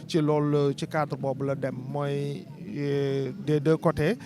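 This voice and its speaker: A man with a Senegalese French accent, interviewed at an outdoor event, speaking in an earnest, measured, rhetorical way. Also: a little high, level tone. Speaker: artist interviewee. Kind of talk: street interview, spoken-word declamation. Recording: crowd noise behind.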